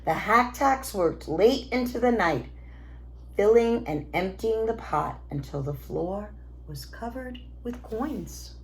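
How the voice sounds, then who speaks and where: A woman narrates a children's storybook like a teacher reading to pupils. Her delivery is expressive and slow, with lots of rise and fall in pitch. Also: slight background noise, poor audio.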